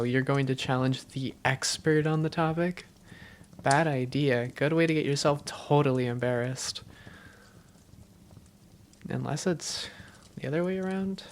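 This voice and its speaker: A woman's voice: a bass voice, slight background noise. A woman playing an affectionate, teasing young vampire girlfriend in an intimate roleplay audio, playful and tender with her partner.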